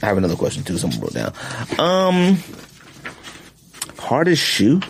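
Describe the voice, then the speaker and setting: A man's voice: mid-range voice, moderate pace, creaky, slight background noise. Laid-back man hosting a podcast. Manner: animated, laughs often, repeats phrases for effect. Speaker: podcast co-host. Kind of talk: casual sneaker podcast chat.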